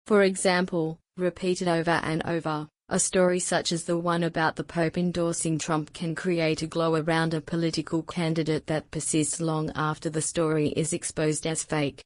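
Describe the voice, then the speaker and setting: Low, even-toned woman reading aloud. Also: moderate pace, hardly any pauses, no echo, clean studio sound. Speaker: narrator of read-aloud practice passages. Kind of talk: scripted educational narration.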